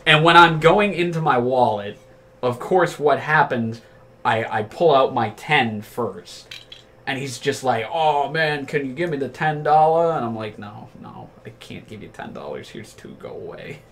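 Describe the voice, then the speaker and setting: A man's voice: medium pitch, animated, a little slow, a bit bright, a little hiss or hum. A man telling casual anecdotes among friends in a rambling, profane, incredulous way. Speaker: storyteller.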